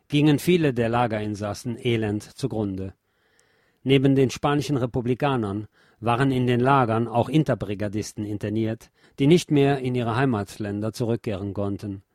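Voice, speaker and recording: A man's voice: mid-range voice, darkish tone, no room sound. Solemn male documentary narrator, dry sound. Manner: measured, solemn literary reading.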